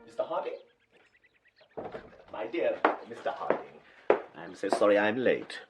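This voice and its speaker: An actor playing an unctuous, condescending, ambitious English church official in a period radio drama, patronising and deliberate in his emphasis. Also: lowish voice, very animated, over loud music. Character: oily, condescending, ambitious church official, coldly reforming villain.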